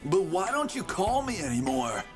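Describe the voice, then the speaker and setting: A man's voice: high voice, sing-song, normal speed. An actor playing a goofy, lovelorn eighteen-year-old wereboar henchman in a comic video game, with hesitant boyish bravado. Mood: pleading. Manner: hesitant, pleading, juvenile bravado. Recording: game music behind the voice. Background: game music.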